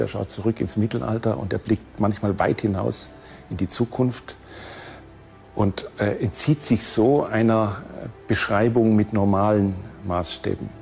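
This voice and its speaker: A man's voice: lowish voice, gravelly, a little mellow. A man giving reflective commentary as an expert in a documentary interview.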